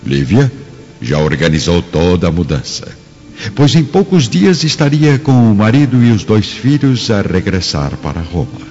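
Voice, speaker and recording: Brazilian guy narrating a radio drama, super deep solemn voice, music behind. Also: big pitch swings, moderate pace, darkish tone. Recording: dull lo-fi recording. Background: clear background music.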